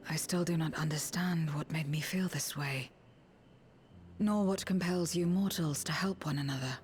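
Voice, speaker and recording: A woman voicing a stoic, wary otherworldly figure in a video game, with a breathy voice and blunt, halting delivery. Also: low-pitched, a little mellow, slight background noise, natural voice. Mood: earnest, pensive. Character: solemn, detached otherworldly woman, once mortal, blunt and wary. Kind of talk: scripted video game dialogue. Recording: music behind the voice.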